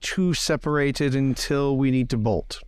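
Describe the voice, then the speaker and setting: A man voicing a reluctant, wary gentleman who frets over social duty in an improvised tabletop roleplay. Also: mid-range voice, measured pace, clear, resonant tone, no echo, studio quality, lightly acted. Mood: cautious.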